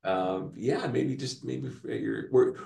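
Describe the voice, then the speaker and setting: An eager male podcast host asks questions in a halting, restart-filled way, easily impressed. His mid-pitched voice has a gravelly fry, and the room around him sounds roomy and echoey. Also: dark tone. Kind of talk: casual podcast interview.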